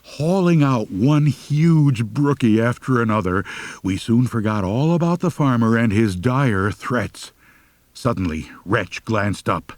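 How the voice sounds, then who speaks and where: A man's voice: medium pitch, expressive, unhurried, a little mellow, no room sound. A man narrating a humorous first-person story as an audiobook reader, with a wry, mock-serious delivery.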